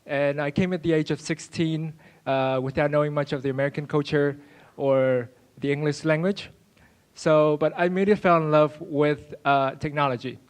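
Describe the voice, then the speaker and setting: Young man, from Venezuela, student presenter, halting delivery, smooth clear voice. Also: a little high, fairly even intonation. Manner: halting, many uh fillers and restarts. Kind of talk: project presentation.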